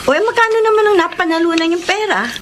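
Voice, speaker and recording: A woman's voice: extremely high pitch, quick pace, a little hiss or hum. An actress playing a feisty, hardworking Filipino daughter in her twenties, fed up with her family, in a Tagalog radio drama. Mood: suspicious, probing. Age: 20s.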